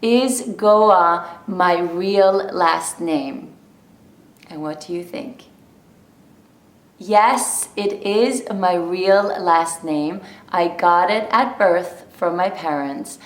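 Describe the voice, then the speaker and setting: A woman from Norway talks straight to camera in a relaxed vlog, telling her story slowly and with plenty of laughs. Her voice sits a little low, and the room gives it a noticeable echo. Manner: chatty, animated storytelling with laughs. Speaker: vlogger. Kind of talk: casual talk to camera.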